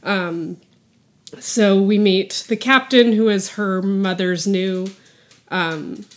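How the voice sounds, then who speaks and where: Slow-talking laughing woman co-host. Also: medium pitch. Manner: rambling, laughs often. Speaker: podcast co-host. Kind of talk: casual chat.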